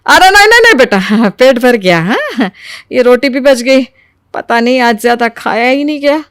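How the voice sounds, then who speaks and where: A woman playing a wary middle-aged Indian mother-in-law in an animated moral story, grumbling in exasperated asides and retorts. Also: sits high, normal speed, dry recording, clean studio sound. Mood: nervous politeness.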